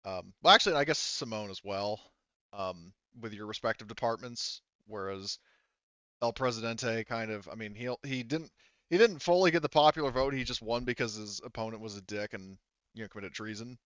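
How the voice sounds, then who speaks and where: A man's voice: on the low side, normal speed, fairly bright tone, clear, resonant tone, no room sound, dull audio. A man acting as game master, casually talking through tabletop game rules in a rambling, backtracking way.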